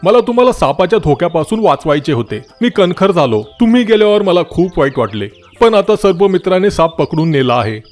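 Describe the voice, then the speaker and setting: A man voicing a stern but secretly kind talking tree in a children's moral story cartoon, with emphatic, drawn-out scolding. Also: sits high, big pitch swings, a little mellow, soft background music. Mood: welcoming.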